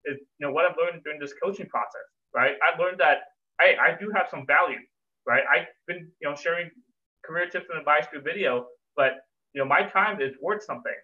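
Fast-talking male guest, slightly high voice, thin phone-like sound. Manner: quick, upbeat, conversational. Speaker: guest, career coach. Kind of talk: casual interview chat. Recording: tinny phone-like remote line.

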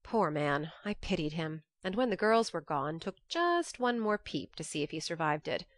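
A woman reads a classic novel as an audiobook narrator. Her voice sits a little low and the sound is dry and close. The delivery is expressive, scripted first-person storytelling. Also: no echo.

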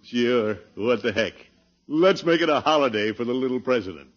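Actor as blustery, jovial American uncle, old radio. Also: male voice, mid-range voice, lively intonation, over loud music, natural voice. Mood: genial. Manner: hearty, drawn-out exclamations and indulgent chuckles. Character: jovial, blustery middle-aged uncle, an expectant grandfather. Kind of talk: 1950s radio comedy acting. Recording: dull, noisy vintage radio recording.

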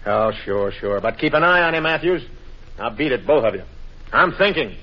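Man acting a blustering police inspector, sarcastic, husky mid-pitched voice, 1940s radio drama, dull old recording. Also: expressive, moderate pace, natural voice. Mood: bossy.

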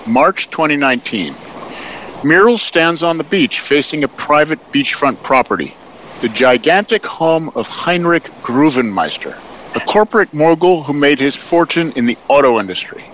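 A man's voice: on the high side, lively intonation, normal speed, fairly bright tone, slight background noise, poor audio. A man reading scripted stage directions as the announcer of an audio play.

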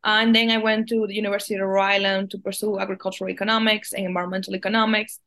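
A woman's voice: mid-range voice, a bit bright. Earnest young woman from the Dominican Republic. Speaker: guest, farm policy advocate. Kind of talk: casual interview answers.

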